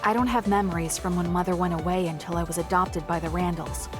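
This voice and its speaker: Game heroine, played by a woman: young soldier, cool, blunt, restrained, lowish register, music underneath. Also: steady tone, fast talker, natural voice. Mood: quietly wistful. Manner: cool, matter-of-fact, restrained. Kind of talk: video game voice acting, story cutscene dialogue. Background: background music.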